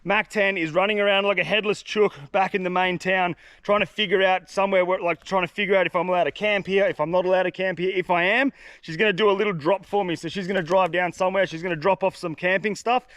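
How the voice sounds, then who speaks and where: A man's voice: high voice, fairly even intonation, quick pace, a bit bright, dry recording, clean studio sound. A young man with a congested, blocked-up nose, chatting casually to camera as he hikes through a humid forest. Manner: laid-back, chatty, slangy. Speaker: fishing vlogger. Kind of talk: casual outdoor vlog to camera. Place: dense humid forest, outdoors.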